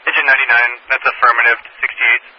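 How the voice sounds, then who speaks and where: A man's voice: mid-range voice, flat delivery, fast talker, highs cut off. A man working as a fire dispatcher on two-way radio, matter-of-fact and clipped, repeating details back in procedural phrases. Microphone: radio dispatch channel. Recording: noisy band-limited scanner radio, clicks.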